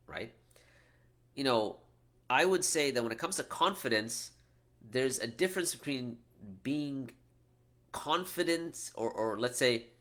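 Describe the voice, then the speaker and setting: A man's voice: mid-range voice, fairly bright tone. A middle-aged man, an Islamic teacher, answering questions in a casual talk, often ending points with "right?". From United States. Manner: conversational, frequent "right?" tag questions and restarts.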